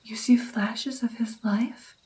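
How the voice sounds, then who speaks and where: Slow whispering woman in ASMR roleplay. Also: on the high side, fairly even intonation, darkish tone. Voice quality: breathy whisper. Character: gentle, caring female vampire mentor.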